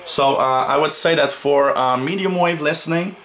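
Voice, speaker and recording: A man giving an unscripted product review as a reviewer, in a halting way with frequent pauses. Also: on the high side, a bit bright. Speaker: radio reviewer. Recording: noticeable background noise.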